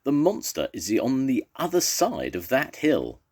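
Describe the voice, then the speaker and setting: A man voicing a small, timid storybook animal with a squeaky little voice, hesitant and polite. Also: wildly swooping pitch, unhurried, a little mellow, husky, heavily acted. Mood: eager.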